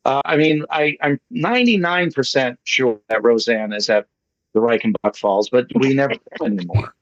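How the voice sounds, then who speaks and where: A man's voice: medium pitch, normal speed, dry recording. A man speaking casually as a guest on an online call, effusive and rambling, repeating himself for emphasis. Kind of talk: casual online talk answering questions. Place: online video meeting. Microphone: video call. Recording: slightly dull online call audio.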